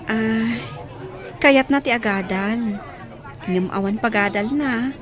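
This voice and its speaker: A woman playing a highish Ilocano village girl, crowd behind. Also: slow. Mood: earnest, explaining. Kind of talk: Ilocano radio drama acting.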